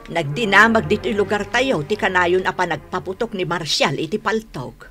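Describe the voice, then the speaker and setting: A Filipino woman playing a gossipy, disapproving older village mother in a radio drama, with a husky voice. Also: mid-range voice, lively intonation, moderate pace, fairly bright tone, soft background music, close to an everyday voice.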